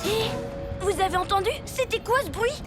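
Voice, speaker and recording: A woman's voice: very high-pitched, lively intonation, moderate pace. A woman voicing a spirited young child superhero in a French cartoon, earnest and exclamatory. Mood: wondering. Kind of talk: French cartoon dub acting. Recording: loud music behind the voice.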